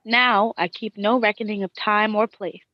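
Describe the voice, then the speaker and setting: A dull, dry recording of a woman acting a bereaved wife in a radio play. She is haunted and anguished, delivering poetic lines theatrically at a middling pitch. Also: steady tone, normal speed, no echo, natural voice. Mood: mournful. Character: grief-stricken wife haunted by her lost spouse. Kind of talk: dramatic radio play monologue.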